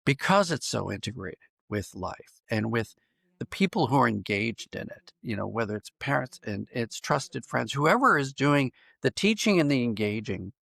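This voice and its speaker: A man's voice: mid-range voice, sing-song, moderate pace, vocal fry, fairly bright tone, no room sound. An American man speaking as an interview guest in a thoughtful, explanatory way, sometimes repeating phrases as he works out a point. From Pennsylvania, United States. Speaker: podcast guest, writer and audio drama producer. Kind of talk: reflective interview conversation.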